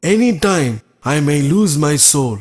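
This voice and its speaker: A man playing a kindly, selfless, very old sage in a children's cartoon, speaking solemnly and deliberately. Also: fairly high pitch, lively intonation. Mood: compassionate, solemn.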